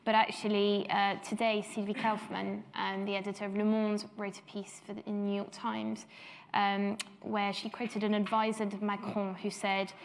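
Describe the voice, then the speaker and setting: A woman's voice: medium pitch, monotone, moderate pace, vocal fry, slight background noise. A woman from Belgium chairing a policy panel discussion, talking with many hesitant fillers.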